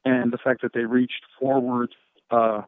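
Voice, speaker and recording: A man with an even, unanimated delivery briefs reporters as a rescue official. He speaks in measured procedural terms and operational jargon, over a dry, narrow, phone-like line. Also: medium pitch, smooth, no echo. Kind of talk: conference call briefing, question and answer.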